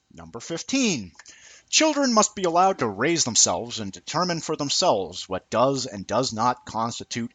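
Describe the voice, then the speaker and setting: A man reading a scripted essay aloud in a deliberate, formal way with emphatic phrasing. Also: medium pitch, a bit bright, no echo. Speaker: amateur reader of a written essay.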